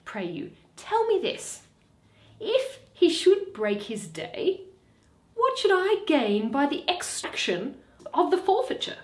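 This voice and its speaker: A bitter, wronged older moneylender from a Shakespeare play, acted out by a woman, mocking and sardonic. The pitch is high and swings widely, and the words are drawn out slowly in an echoing room. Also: very animated, heavily acted. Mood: indignant, sly. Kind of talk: dramatic Shakespeare reading. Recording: roomy, echoing.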